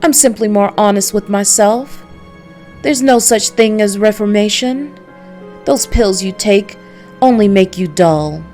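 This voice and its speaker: A woman's voice: medium pitch, unhurried, darkish tone, pitched above the performer's own voice, natural voice. A seductive visual novel succubus, acted out by a woman, speaks in a raised, theatrical voice. She is sly, taunting and coolly menacing, completely unrepentant. Faint music plays behind her. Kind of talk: visual novel character read aloud.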